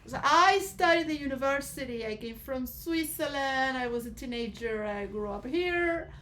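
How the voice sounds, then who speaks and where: A woman giving a rambling philosophical monologue to role players, shifting between soft musing and loud emotional outbursts. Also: high voice, animated, moderate pace, slight background noise. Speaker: speaker addressing role players.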